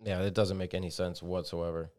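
A man's voice: low-pitched, little pitch movement, brisk, a little mellow. A man co-hosting a podcast, agreeing often with short replies and swearing now and then in a casual opinion chat. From United States. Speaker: podcast co-host.

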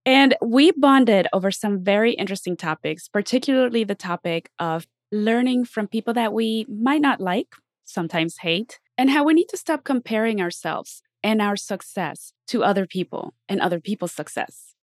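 A woman speaking as a podcast host, upbeat and expressive, addressing people directly in a conversational way. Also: medium pitch, moderate pace, dry recording, clean studio sound. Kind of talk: podcast intro and interview chat.

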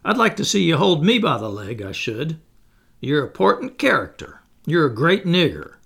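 A blustering old plantation master from an audiobook, bullying and sarcastic, as a male narrator puts him on. His voice is gravelly and pushed up higher and louder than the narration, dragging out words to mock and sermonize. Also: very animated, normal speed, heavily acted. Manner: mocking, bullying and preachy, with drawled words.

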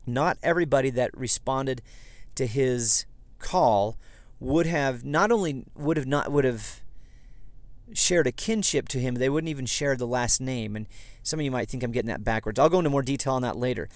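A man from southeast Idaho with a slightly hoarse, froggy voice, talking as a podcast host in a rambling, self-correcting way. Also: medium pitch, moderate pace, no room sound. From southeast Idaho, USA. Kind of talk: casual history podcast monologue. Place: inside a parked vehicle.

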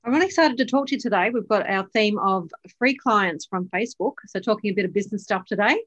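A woman's voice: medium pitch, fast, a bit bright, dry recording, lo-fi sound. A woman hosting a podcast, asking encouraging questions and often ending with tag questions. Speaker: podcast host. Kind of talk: scripted intro then casual interview.

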